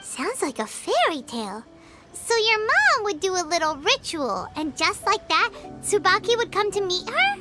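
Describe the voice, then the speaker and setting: A woman's voice: very high voice, big pitch swings, moderate pace. A woman voicing a tiny, chirpy female companion creature in a game, who calls herself by her own name. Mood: excited. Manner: talks about herself in third person, bubbly. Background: music.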